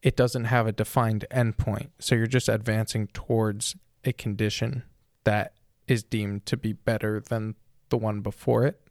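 A man hosting a casual podcast, husky and sick with a sore throat, talking in a thoughtful, rambling way. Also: on the low side, level tone, a little slow, hardly any pauses, a little mellow, no echo, studio quality. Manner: thoughtful, rambling, self-correcting. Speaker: podcast host.

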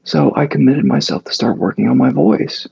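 A man's voice: medium pitch, sing-song, normal speed, gravelly, darkish tone, husky, dull audio. A male actor speaking as an interview guest, telling a long story casually with many fillers and restarts.

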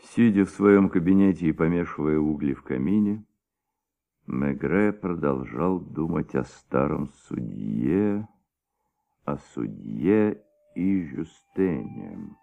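An actor narrating a detective radio play in a measured, suspenseful, dramatic way on an old recording. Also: male voice, extremely low pitch, lively intonation, gravelly, narrow, tinny audio, exaggerated voice. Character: thoughtful male storyteller of a detective tale.

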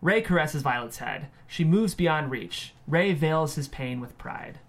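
A man's voice: a little high, animated, measured pace. A man narrating scene headings and stage directions from a script at a table read.

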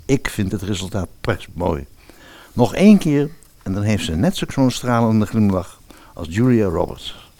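A man playing a doting middle-aged father in a fairy-tale radio play, gentle, chatty and well-meaning. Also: lowish voice, expressive, a little slow, no echo. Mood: admiring.

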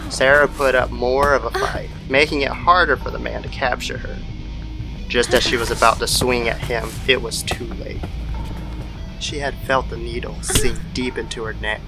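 A man with a medium-pitched voice narrates a scripted horror story. He tells it like an old-time radio show, with clear music running underneath. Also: rough recording. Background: background music.

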